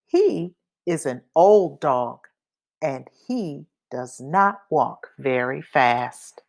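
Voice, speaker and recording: A woman reading a children's picture book aloud in a deliberate, expressive manner. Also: low-pitched, vocal fry. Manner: deliberate, expressive, acts out sound effects. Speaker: read-aloud reader.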